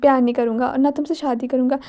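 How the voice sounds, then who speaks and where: A woman's voice: high voice, fairly even intonation, quick pace, dark tone. A woman voicing a cold, irritable young man who scolds curtly. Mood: angry. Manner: curt, scolding.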